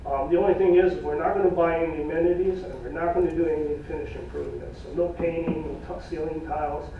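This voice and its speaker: A man presenting cost estimates at a public meeting in a plain, folksy way, losing his voice, with halting fillers. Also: a little high, fairly even intonation, a little hiss or hum. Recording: echoey room from far off, dull.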